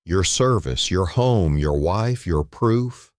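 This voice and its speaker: Deep, calm mysterious stranger played by a man. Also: slow pace, dry recording, clean studio sound. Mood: calm, knowing. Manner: steady, quiet, certain. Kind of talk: dramatic storybook dialogue.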